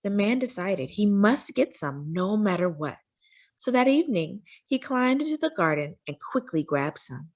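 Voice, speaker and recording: Children's storyteller, female, animated pitch, dramatic emphasis, narrating fairy tales to young listeners. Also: normal speed. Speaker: children's read-aloud storyteller.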